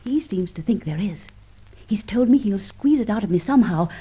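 Mellow, husky plucky heroine. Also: female voice, mid-range voice, lively intonation, moderate pace. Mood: confiding. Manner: composed, dry, forthright. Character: plucky, defiant young woman, well-spoken heroine. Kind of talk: old radio drama acting. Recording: muffled vintage radio recording.

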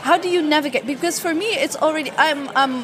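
A woman's voice: high voice, racing, a faint crowd. A woman from the Netherlands, talking casually as co-host of a panel.

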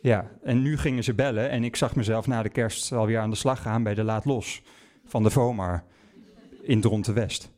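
A man's voice: on the low side, steady tone, normal speed, darkish tone, natural voice. A man playing a hapless, bumbling park employee who narrates a comic live audio play in a hesitant way. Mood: flustered. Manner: hesitant, bumbling, echoes what he is told. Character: hapless, unqualified young male holiday park employee. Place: theatre stage before live audience. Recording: music behind, live theatre stage.

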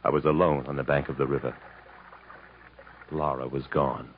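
A man's voice: very deep voice, level tone, normal speed, slight background noise. An actor playing a troubled, proud man stranded in the jungle, in an earnest, dramatic 1950s radio style. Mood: brooding, hushed. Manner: earnest, literary, dramatic delivery. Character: weary, troubled male jungle survivor, proud and protective. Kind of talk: 1950s radio acting, dramatic narration and dialogue. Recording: muffled vintage radio recording with music.

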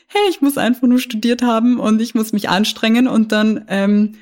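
A woman's voice: fairly high pitch, fairly even intonation, normal speed, a little mellow. A young woman from Austria, a lawyer talking candidly as an interview guest, often restarting and repeating words. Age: young adult. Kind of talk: casual interview conversation.

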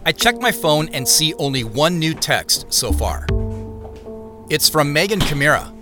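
An American actor playing a reflective amateur investigator, narrating an audio drama in a measured, conversational way. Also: male voice, a little high, very animated, music in the background. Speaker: narrator and lead character.

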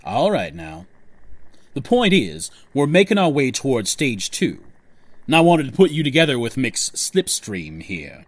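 A grandiose male schemer from an audio drama, wordy and self-important. His mid-pitched voice swings theatrically up and down, recorded close and dry. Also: huge pitch swings, unhurried, no room sound. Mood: businesslike. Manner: pompous, wordy, mixed metaphors.

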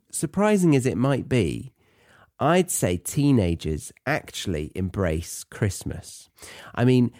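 A man's voice: medium pitch, dark tone, no room sound, clean studio sound. A British man hosting a podcast for English learners, chatting on his own with playful, tongue-in-cheek asides. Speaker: podcast host teaching English to non-native learners. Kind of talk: chatty solo podcast monologue. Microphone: close podcast microphone in a dry room.

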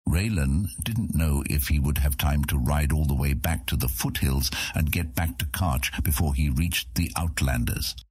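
Deep husky guy narrating a fantasy audiobook, super dry sound. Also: moderate pace, few pauses, a little mellow, no echo. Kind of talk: scripted fantasy story narration.

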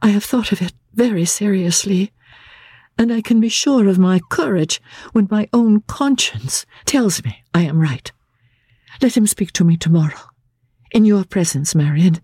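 A woman voicing a gentle, earnest young woman, anguished but resolute, with pleading, emotive phrasing, in a literary audiobook. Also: mid-range voice, expressive, unhurried, breathy edge, dry recording, voice pushed up.

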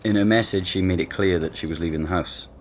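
An actor playing an easygoing young boyfriend being interviewed at home, casual and hesitant in a naturalistic audio drama. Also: male voice, low voice, fast, slight background noise, lightly acted. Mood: candid, puzzled.

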